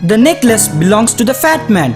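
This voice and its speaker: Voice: medium pitch, big pitch swings, normal speed, music in the background, lightly acted. A performer voicing a keen, confident, loyal young man serving a king in a children's cartoon, earnest and emphatic. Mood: firm. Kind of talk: theatrical children's cartoon dialogue.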